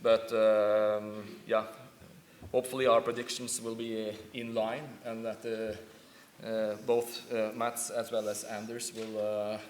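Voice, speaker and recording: A man talks in a flat, level voice on a conference panel, hesitating often and chuckling between phrases. His voice rings through a large hall with a long reverb. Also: fairly low pitch, slow pace, a little hiss or hum. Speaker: shipbroking analyst on a panel.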